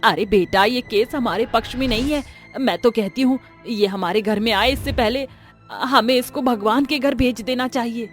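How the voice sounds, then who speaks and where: Woman playing shrill, scheming cruel mother-in-law. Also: normal speed, soft background music, lightly acted. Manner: taunting, melodramatic. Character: cruel, greedy, scheming middle-aged mother-in-law, theatrically scolding. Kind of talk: Hindi moral-story cartoon voice acting.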